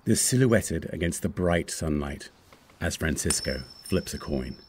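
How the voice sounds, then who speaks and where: A man's voice: a bass voice, expressive, measured pace, a little mellow, a little hiss or hum. A man reading screen directions aloud as scripted narration for a screenplay.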